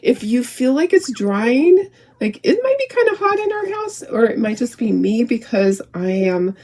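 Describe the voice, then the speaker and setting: A middle-aged woman with a squeaky voice, recovering from illness, demonstrating a craft project in a rambling, giggly, chatty way. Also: sing-song, normal speed, a little mellow. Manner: rambling, self-interrupting, giggly. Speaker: craft show host.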